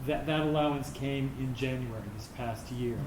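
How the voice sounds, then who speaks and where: A middle-aged or older man answering audience questions informally as a theater director, haltingly searching for words. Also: mid-range voice, steady tone, over a noisy crowd. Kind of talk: informal post-show Q&A. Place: roomy indoor performance space. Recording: echoey room with clear background noise.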